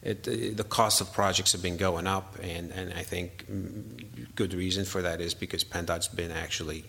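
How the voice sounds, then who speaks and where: Deep bright voice, syrian-born guy, kinda halting, roomy reverb. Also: steady tone, gravelly, breathy edge. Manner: hesitant, many fillers and restarts. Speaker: structural engineer guest. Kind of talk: interview answers.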